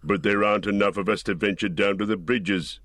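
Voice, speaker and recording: A man voicing a wary orc foot soldier with a low, grumbling delivery. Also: steady tone, a little fast, a bit bright, dry recording, studio quality, natural voice.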